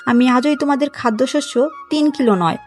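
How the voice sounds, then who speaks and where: A woman's voice: high voice, moderate pace, soft background music, pitched above the performer's own voice, lightly acted. A woman voicing a kindly, generous king in a cartoon folk tale, benevolent and approving.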